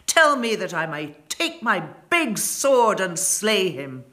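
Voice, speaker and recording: Storybook giant, played by a woman: male, solemn and passionate, drawn-out declaiming, big swings in pitch. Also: very animated, fairly bright tone. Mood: angry. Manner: archaic, declaiming, drawn-out words.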